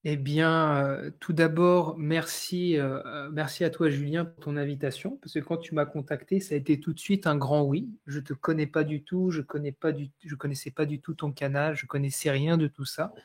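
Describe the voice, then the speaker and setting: A man in his thirties from France, a guest sharing his life story in a live online talk, speaking with many pauses and restarts. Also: on the high side, fairly even intonation. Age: 30s. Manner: hesitant, many fillers and restarts.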